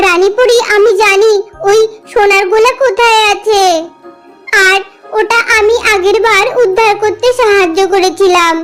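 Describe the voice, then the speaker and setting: A woman voicing a small, earnest mother bird with a pleading manner in a children's cartoon. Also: very high voice.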